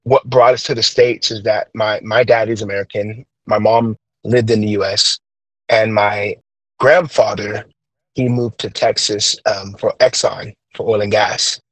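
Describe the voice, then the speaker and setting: A man's voice: on the low side, little pitch movement, unhurried, no room sound, poor audio. A young man who grew up in Trinidad, speaking with a light Trinidadian accent and reflecting earnestly, with lots of fillers and restarts, as an interview guest. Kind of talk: interview, personal story.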